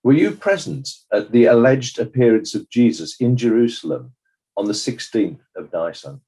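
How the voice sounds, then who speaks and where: A man playing a formal, probing examiner who puts questions in a measured, official way in a dramatized inquest. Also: medium pitch, lively intonation, dark tone, natural voice.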